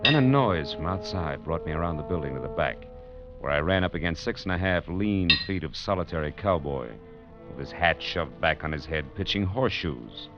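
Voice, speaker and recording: Low-voiced, wry American private detective. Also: male voice, lively intonation, moderate pace. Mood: wry narrating. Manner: sardonic, clipped wisecracking. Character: wry, tough, nosy male private detective, worldly and unflappable. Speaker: narrator and lead actor. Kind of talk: old-time radio detective drama, first-person narration. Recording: dull vintage radio recording, music behind.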